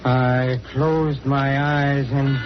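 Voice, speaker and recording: Casting: guilt-ridden, ugly, clumsy man near hysteria, slow melodramatic delivery, muffled vintage radio sound. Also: medium pitch, level tone, a little hiss or hum. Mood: haunted, desperate. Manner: melodramatic, halting, stammering repetitions. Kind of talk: 1940s radio horror acting, first-person narration and dialogue.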